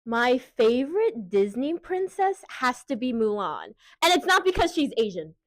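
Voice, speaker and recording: A woman's voice: fairly high pitch, big pitch swings, measured pace, dry recording. An eighteen-year-old woman giving bubbly, chatty interview answers. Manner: bubbly and chatty, laughs easily. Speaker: contestant.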